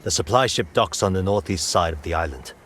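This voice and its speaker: An actor playing a pragmatic raider lieutenant in a game cutscene, with a dry, matter-of-fact delivery. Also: male voice, deep voice, lively intonation, brisk, fairly bright tone, a little hiss or hum. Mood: businesslike. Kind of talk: video game cutscene acting.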